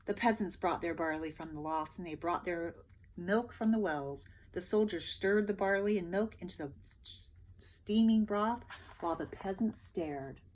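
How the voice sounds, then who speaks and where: A woman's voice: on the low side, normal speed, darkish tone, slight background noise. A woman teacher reading a picture book aloud expressively, with emphatic delivery and questions for her listeners.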